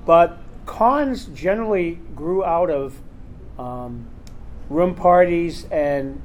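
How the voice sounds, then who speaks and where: A man's voice: fairly high pitch, slow, highs cut off, a little hiss or hum. A man hosting a convention panel, talking casually and rambling with lots of fillers. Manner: rambling, chatty, full of fillers. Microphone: recorder in the room.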